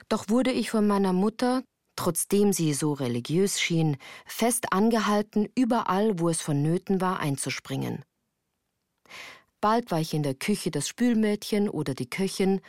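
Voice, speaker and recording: A woman playing a melancholy narrator who recounts her hard youth in a measured, earnest radio drama style. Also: on the low side, a little mellow, no echo, clean studio sound.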